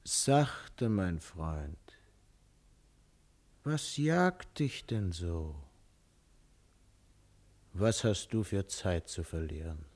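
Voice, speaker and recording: Slow, low-voiced swaggering robber. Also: male voice, lively intonation, darkish tone, full character voice. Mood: taunting. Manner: taunting, swaggering, rough camaraderie. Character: huge, menacing male robber, roguishly jovial, armed with club. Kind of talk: German radio play dialogue.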